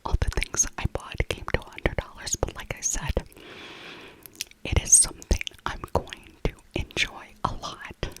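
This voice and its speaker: Whispering woman, soft ASMR voiceover. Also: low voice, poor audio. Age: 50s. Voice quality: breathy unvoiced whisper. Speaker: ASMR video host. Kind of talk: whispered ASMR shopping voiceover, casual chat.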